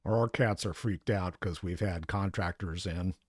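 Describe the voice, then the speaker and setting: A man's voice: low voice, a little slow, dry recording, studio quality. A man chatting casually while playing an online game with a friend, rambling with many fillers and restarts. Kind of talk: casual gaming chat.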